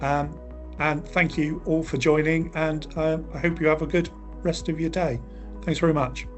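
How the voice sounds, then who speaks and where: Male webinar host, fairly high voice, friendly and brisk, faint music behind. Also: level tone. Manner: friendly, brisk, thanking people. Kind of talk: hosting and wrapping up an online seminar. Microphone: video call.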